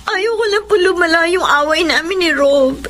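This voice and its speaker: An actress with a Filipino accent playing a battered young wife, tearful and pleading yet defiant, in a radio drama. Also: female voice, extremely high pitch, normal speed, fairly bright tone, a little hiss or hum. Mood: tearful, meek. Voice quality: tearful, plaintive.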